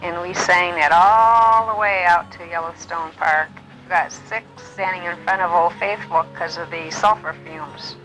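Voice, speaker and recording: An elderly woman from Illinois in her nineties, gently recalling her life in an unhurried way, often pausing with um and uh. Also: fairly low pitch, steady tone, faint music behind, low-quality recording. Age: older. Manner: gentle, unhurried storytelling with many ums and uhs. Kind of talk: reminiscing, answering memory prompts.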